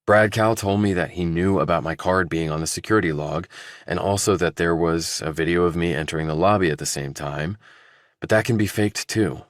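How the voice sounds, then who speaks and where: A man's voice: low-pitched, fairly quick, no echo, clean studio sound, lightly acted. A man voicing a defensive, desperate young murder suspect in an audiobook, earnest, blunt and insistent. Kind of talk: audiobook character dialogue.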